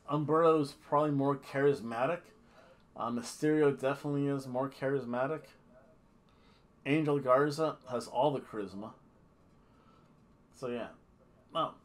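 A middle-aged man giving casual, rambling, jokey commentary as a review host, recorded at a webcam in a home room. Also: mid-range voice. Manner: jokey, rambling, slips into mock accents. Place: small home room indoors.

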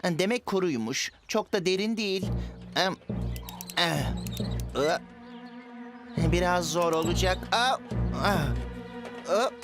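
A woman's voice: on the low side, lively intonation, slow pace, long pauses, loud music behind the voice. A woman voicing an impatient, mischievous little boy in a cartoon dub, with a whiny, exclamatory way of talking. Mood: scheming, effortful. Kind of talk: Turkish cartoon dubbing.

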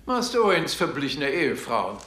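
An actor playing a polite, formal butler in a radio play. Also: male voice, fairly high pitch, big pitch swings, normal speed, bright, forceful tone. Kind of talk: dubbed radio play acting.

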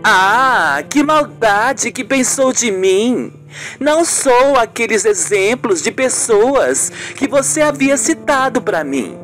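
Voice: medium pitch, lively intonation, takes it slowly, a bit bright, soft background music, voice pushed up. A performer voicing a scheming woman posing as a sweet new friend in an amateur radio soap opera. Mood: sweetly chummy.

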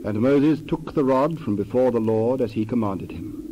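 A man narrating a dramatized scripture passage in a steady storytelling way. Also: mid-range voice, normal speed, dull audio, slight background noise.

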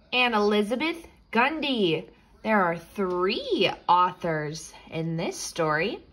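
A woman reading a picture book aloud expressively for children, explaining as she goes like a teacher. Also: mid-range voice. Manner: slow, animated, explanatory storytelling. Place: indoors, picture book filmed from above.

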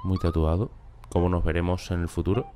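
A man in his thirties with a Spanish accent from Spain, reacting on stream with incredulous, animated commentary that jumps to loud outbursts. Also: low voice, brisk. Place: small home studio room. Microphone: large studio mic close up, headphones on. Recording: faint music under the voice. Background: reggaeton music playing.

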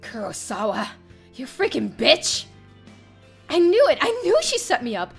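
Expressive young woman narrating, lively pitch. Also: a little slow, gravelly, slightly rough. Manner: expressive, theatrical. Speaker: streamer reading a visual novel aloud. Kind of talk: dramatic first-person story narration. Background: soft game music.

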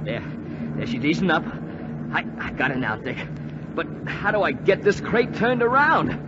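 A man in a 1940s radio drama playing an eager, wisecracking young bomber crewman, excitable and slangy. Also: on the high side, moderate pace. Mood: strained, relieved. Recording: noisy muffled 1940s radio broadcast recording. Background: loud aircraft engine noise.

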